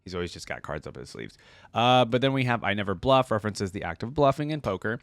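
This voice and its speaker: A man's voice: fairly low pitch, very animated, fast talker, dry recording. Casual male reactor, dry close sound. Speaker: reaction video host.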